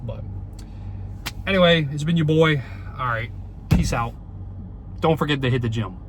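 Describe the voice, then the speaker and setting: Congested young man, excitable wrestling vlogger. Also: fairly high pitch, normal speed, a little hiss or hum. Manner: excitable, repeats rhetorical questions, many fillers. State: congested from a cold. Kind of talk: casual solo opinion vlog.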